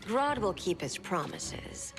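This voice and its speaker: An actress voicing a cold, haughty plant-loving villainess in a game, speaking in a cool, languid way. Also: female voice, mid-range voice, big pitch swings, slow pace. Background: game score music.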